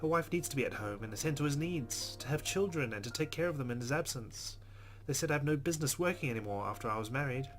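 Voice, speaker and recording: A man's voice: medium pitch, lively intonation, fast talker, a bit bright. A man hosting a let's play, reading visual novel narration aloud. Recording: music behind the voice.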